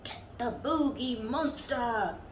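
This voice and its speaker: Woman playing a small fierce bear, raised pitch. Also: slow pace. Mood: fierce, commanding. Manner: shouted battle commands. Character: littlest brown bear, fierce bossy young soldier type. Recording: roomy echo with faint background noise.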